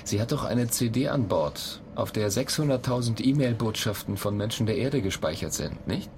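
A man's voice: lowish voice, expressive, moderate pace, background noise. An actor playing an impatient, sarcastic male space traveller in a radio drama.

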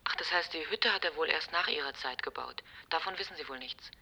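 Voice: mid-range voice, brisk, gravelly, pushed and bright, slightly rough, heavily acted. An actor in a German radio drama playing a casual, faintly mocking housemate answering the telephone in a conversational way. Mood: gently mocking.

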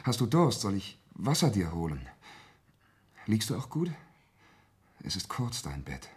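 An actor playing a young man gently comforting his dying mother in a radio play, with soft, soothing storytelling. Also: lowish voice, big pitch swings, unhurried. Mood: tender. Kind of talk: German radio play acting.

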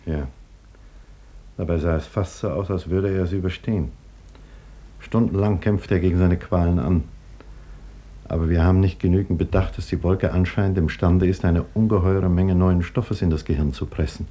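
A sober male scientist tells of a tragedy in a measured, explanatory way. His voice sits on the low side with a husky edge. It is 1950s radio drama narration, grave and deliberate. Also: steady tone, warm tone. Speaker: scientist and doctor narrating his own story.

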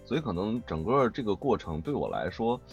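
A man's voice: on the low side, very animated, a little fast, creaky, dull audio, soft background music. A man speaking as a voice actor guest in a casual interview, emphatically repeating his points.

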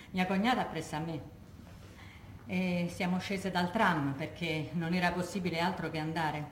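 An actress playing an anguished working-class Roman widow in a theatrical monologue, colloquial and acting out others' words in a Roman accent. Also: female voice, on the low side, lightly acted. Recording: roomy echo, faint background noise.

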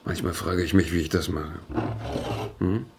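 An actor playing a corrupt, self-pitying official who muses and wheedles in a German radio drama. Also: male voice, deep voice, steady tone, gravelly, noisy background. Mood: wheedling. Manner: musing, slow, wheedling.

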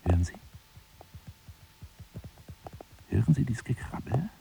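An actor playing an obsessive, grandiose telephone seducer in a radio drama, husky and breathy, florid and formal, wounded and menacing. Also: male voice, mid-range voice, lively intonation, quick pace, background noise. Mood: menacing, seductive. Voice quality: husky, breathy, often dropping to a whisper. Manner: theatrical, florid, formal address, swinging from pleading to scornful.

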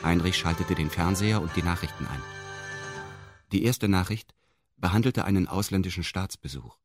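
An actor playing a detached male narrator in a radio drama, speaking in a formal, meticulous, deadpan manner. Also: deep voice, normal speed, over music, natural voice. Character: detached male narrator recounting a weekend with pedantic precision. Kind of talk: scripted first-person radio drama narration.

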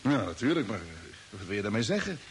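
An actor playing an earnest space expedition commander in a science-fiction radio drama, talking in a questioning, hesitant way. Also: male voice, lowish voice, very animated, quick pace. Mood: puzzled. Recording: hissy old radio drama recording.

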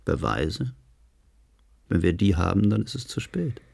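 Sci-fi radio play: a cautious, calculating scientist, low-pitched, heavily gravelly, formal delivery. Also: male voice, very animated, unhurried, a little mellow, full character voice. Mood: grave, warning. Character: calculating, cautious male professor at ground control. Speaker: actor. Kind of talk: German science-fiction radio drama.

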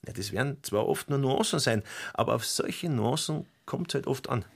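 A man's voice: mid-range voice, animated, normal speed, gravelly, breathy edge. Self-important, blustering car salesman. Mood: smug, grumbling. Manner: opinionated, blustering. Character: self-important young Bavarian car salesman, petty, money-minded philistine. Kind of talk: radio play dialogue.

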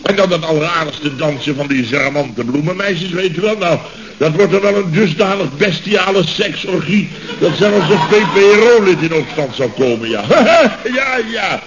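An actor playing a blustering, sarcastic construction boss in a radio comedy serial, repeating himself in exasperation, with a Netherlandic Dutch accent. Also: male voice, fairly high pitch, animated, moderate pace, lightly acted. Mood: sarcastic, agitated. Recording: dull, noisy old radio recording.

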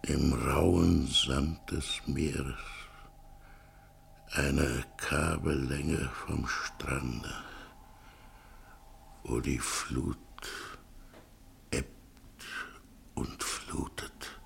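An actor playing an anxious, courteous middle-aged man in a radio drama, with a gravelly, husky voice and a hesitant, muttering way of talking. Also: very deep voice, steady tone, very slow, long pauses, slight background noise, exaggerated voice. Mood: musing, tender. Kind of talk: literary radio drama acting.

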